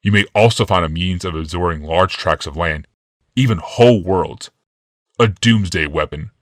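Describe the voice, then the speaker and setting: A man's voice: low voice, moderate pace, dry recording, studio quality, natural voice. A man voicing a smug, casually cruel genius in an audiobook, explaining in a taunting, casual way.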